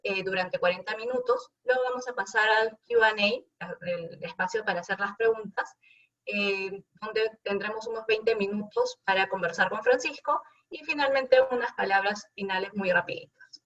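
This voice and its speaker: A woman's voice: mid-range voice, moderate pace, fairly bright tone. A woman hosting a webinar, introducing the guest and explaining how the questions will work in a friendly, organized way over a video call. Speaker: webinar host. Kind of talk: event introduction. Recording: dull distant video-call audio.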